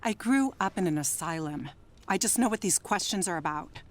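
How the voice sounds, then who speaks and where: A woman's voice: lowish voice, animated, normal speed, close to an everyday voice. Actress as a volatile, sardonic, weary woman. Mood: dry, guarded. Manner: guarded, sardonic, prickly. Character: defiant, weary, sardonic troubled woman, guarded. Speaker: actor in an audio drama. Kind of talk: naturalistic radio drama dialogue.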